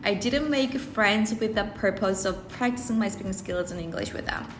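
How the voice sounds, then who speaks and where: A woman's voice: mid-range voice, normal speed, slight background noise. A woman speaking English, giving earnest personal advice to camera and often rephrasing herself mid-sentence. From South Korea. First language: Korean. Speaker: English-learning video creator. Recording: roomy echo, faint background music.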